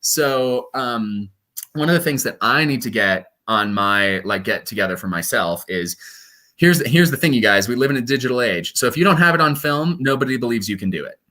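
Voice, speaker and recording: A young man from Colorado, a stage performer, chatting casually in an interview with lively, self-deprecating asides. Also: medium pitch, normal speed. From Colorado, USA. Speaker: guest performer.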